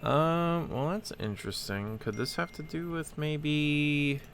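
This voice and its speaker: A man's voice: on the high side, slow pace, fairly bright tone, a little hiss or hum. A man giving casual let's play commentary, thinking aloud hesitantly as he plays. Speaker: game streamer. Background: game music.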